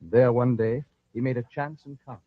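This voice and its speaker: A man's voice: mid-range voice, steady tone, normal speed, no room sound. A man narrating a scripted old-time radio story in a dramatic, florid storytelling manner. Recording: dull, lo-fi old radio recording.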